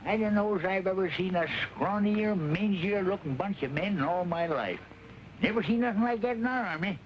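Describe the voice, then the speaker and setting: Muffled noisy old soundtrack, grumpy old Southern frontier man, high voice, folksy, blunt, western film. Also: lively intonation, moderate pace. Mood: scornful. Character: cantankerous old Confederate Texan frontiersman. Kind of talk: 1940s western film acting.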